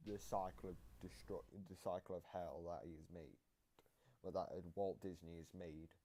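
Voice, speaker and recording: A man giving casual reaction commentary, explaining game lore in a halting, repetitive way. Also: deep voice, steady tone, dark tone, a little hiss or hum. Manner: explanatory, halting, repeats himself.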